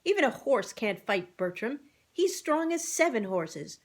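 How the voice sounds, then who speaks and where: A woman's voice: fairly high pitch, big pitch swings, measured pace, voice pushed up, heavily acted. A woman voicing a brave, sensible female cow in a children's story, firm and plain-spoken. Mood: worried, reasoning.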